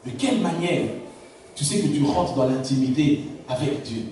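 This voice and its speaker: A man with a fairly high voice preaches a sermon in a large, very echoey church. His native language is Lingala, and he keeps asking the congregation whether they understand. He is heard from some distance, with faint background noise. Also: expressive, moderate pace, mellow. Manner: preaching with rhetorical questions to the congregation. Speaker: pastor.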